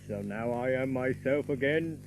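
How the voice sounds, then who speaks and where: An actor playing a merry young outlaw who recites an old romantic ballad in lilting, theatrical archaic verse. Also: male voice, mid-range voice, normal speed, faint music behind, close to an everyday voice, rough recording. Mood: tender, romantic.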